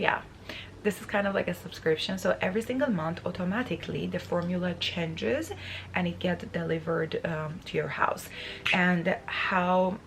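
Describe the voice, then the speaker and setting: A woman in her thirties, raspy from just waking up, chatting to camera in her bedroom with many fillers and restarts. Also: on the low side, slight background noise. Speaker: beauty vlogger. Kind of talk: casual talk to camera. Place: bedroom, indoors.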